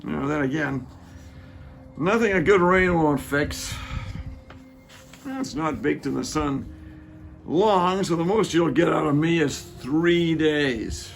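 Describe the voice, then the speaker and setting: Man playing gravelly, wry Roman soldier. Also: a little high, slow, pauses often, soft background music. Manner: wry, musing. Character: wry intellectual Roman soldier, stubborn about details. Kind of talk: dramatic reading.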